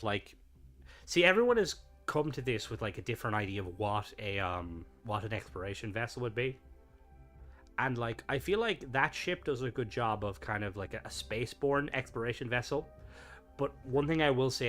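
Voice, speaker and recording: A man's voice: medium pitch, sharp tone. A man hosting a live game stream, chatting casually and playfully with lots of fillers as he tours and rates builds. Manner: rambling, filler-heavy, playful. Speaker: streamer host. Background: faint game music.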